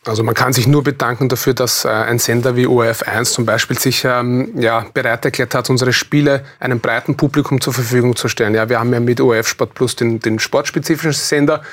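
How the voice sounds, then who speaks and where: A man's voice: medium pitch, fairly even intonation, fast talker, hardly any pauses. Male athlete interviewee, Austrian accent, mid-range voice, keen and sincere, TV studio talk. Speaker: athlete interview guest, handball goalkeeper.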